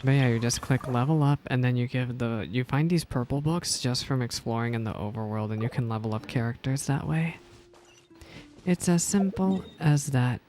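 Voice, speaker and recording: A woman's voice: very deep voice, moderate pace, mellow. A woman streaming her casual live reactions to a game, commenting as it plays.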